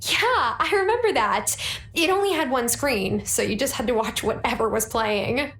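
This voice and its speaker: A woman's voice: on the high side, lively intonation, moderate pace, a bit bright, roomy sound. A woman voicing a friendly, matter-of-fact young character in a video game. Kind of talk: voiced video game dialogue.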